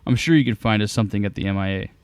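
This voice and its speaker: Male actor, determined inventor hero, lowish pitch, earnest, matter-of-fact, clean tone, audio drama. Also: very fast, clear, resonant tone, no echo, natural voice. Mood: resolute, commanding. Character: determined, clever inventor hero planning a battle. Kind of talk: scripted audio drama acting.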